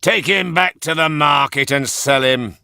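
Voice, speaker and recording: A harsh circus ringmaster from a storybook, acted by a man. He is loud and commanding, with a raised pitch and a callous, dismissive manner. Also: unhurried, gravelly, no echo, studio quality, exaggerated voice.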